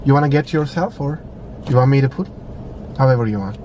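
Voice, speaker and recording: A man's voice: medium pitch, fairly quick, darkish tone, slight background noise. Playful man. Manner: playful, teasing. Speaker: vlogger. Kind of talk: casual chat.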